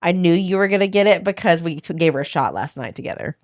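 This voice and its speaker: A woman hosts a casual vlog in a low, smoky voice that sounds worn out. Her delivery is tired and informal, and the recording is close and dry. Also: expressive, fast talker, darkish tone, no room sound. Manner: low-energy, informal teasing. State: tired, voice strained. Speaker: vlog host quizzing friends. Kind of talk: casual chat.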